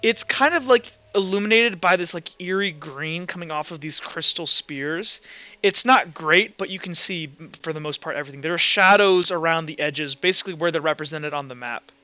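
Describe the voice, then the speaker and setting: Bright-voiced man running a tabletop game. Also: on the high side, moderate pace, no room sound, lo-fi sound. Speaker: game master.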